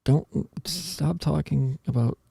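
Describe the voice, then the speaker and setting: A man's voice: fairly high pitch, slow pace, creaky, dark tone, slightly rough, no room sound. A man hosting a casual podcast, chatting and bantering in slangy speech with plenty of "dude". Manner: slangy, says "dude" often. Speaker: podcast host. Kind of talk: casual banter about music with co-hosts.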